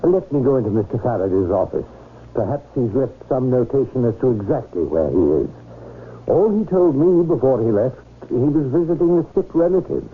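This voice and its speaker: A man's voice: medium pitch, expressive, fairly quick, lightly acted. Man as a formal office clerk, tinny recording. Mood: courteous, guarded. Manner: formal, obliging. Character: courteous, discreet male law-office clerk. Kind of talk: old-time radio drama acting. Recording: noisy, tinny radio drama recording.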